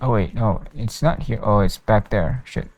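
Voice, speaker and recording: A man's voice: lowish voice, normal speed, a little mellow. A man streaming a video game, casually commenting and reading dialogue aloud into a close mic with game music behind.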